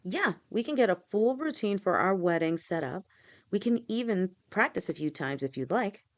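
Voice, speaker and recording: A caring snake-woman girlfriend, played by a young woman in an audio roleplay. She talks softly and reassuringly to her partner, patient and protective, in a mid-pitched voice. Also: animated, moderate pace, close to an everyday voice. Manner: soft, reassuring, speaks directly to a partner.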